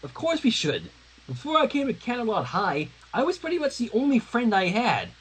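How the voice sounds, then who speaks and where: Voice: mid-range voice, big pitch swings, moderate pace, slightly rough, pitched above the performer's own voice. A reader voicing an anxious, brainy, lovestruck teenage girl with an earnest, emotional and wordy way of talking in a story reading. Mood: apologetic.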